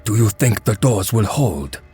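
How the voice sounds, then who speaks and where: An actor playing a stern, sorrowful exiled archer, solemn and terse, in a fantasy audio drama. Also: male voice, lowish voice, sing-song, unhurried, dark tone, breathy edge, soft background music, exaggerated voice.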